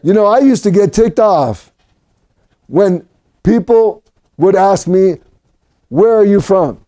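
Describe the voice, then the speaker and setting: A man from Mexico preaching a sermon for an online service, animated and rising to shouts at his peaks. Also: high-pitched, slow pace. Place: home recording for a virtual service.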